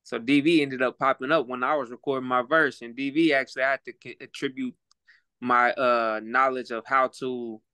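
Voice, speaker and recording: A man's voice: medium pitch, fairly even intonation, normal speed, pushed and bright, smooth, no echo. A man with an Oklahoma accent, talking as a guest on a casual podcast in a laid-back, reflective way, repeating words while he thinks. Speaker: guest, rapper and boxer.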